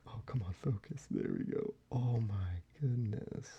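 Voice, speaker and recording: A man whispering softly into a close microphone in a soft-spoken ASMR video, with quiet chuckles along the way. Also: medium pitch, a little slow, slightly rough, muffled recording. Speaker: ASMR creator.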